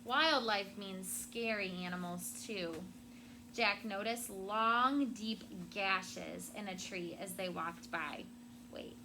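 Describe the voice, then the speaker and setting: A woman teacher reading a story expressively to children and pausing to explain words simply, with noise behind her. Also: mid-range voice. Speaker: elementary school teacher. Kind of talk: read-aloud storytelling to children, with questions and vocabulary asides. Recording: loud background noise.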